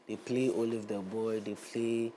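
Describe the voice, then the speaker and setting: A fairly low-voiced young man from Ghana, chatting as an Afrobeats artist in an interview. He is loose and relaxed and picks up energy as he talks. Steady background noise sits clearly under his voice. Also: unhurried, low-quality recording. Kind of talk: casual interview chat.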